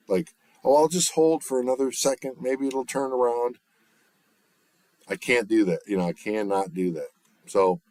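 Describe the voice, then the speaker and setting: Low-voiced man chatting on a livestream. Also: no room sound. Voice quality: bass voice. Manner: relaxed, rambling, frequent fillers and restarts. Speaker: livestreamer and recording artist. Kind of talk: casual livestream chat. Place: home, off-grid.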